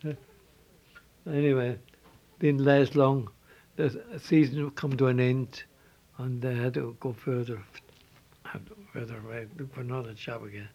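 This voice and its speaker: An older man from Switzerland with a Swiss German accent, telling his life story in halting English with repeated words and restarts. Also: medium pitch, steady tone, gravelly, mellow, rough recording. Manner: halting, repeats words, non-native phrasing. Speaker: interviewee.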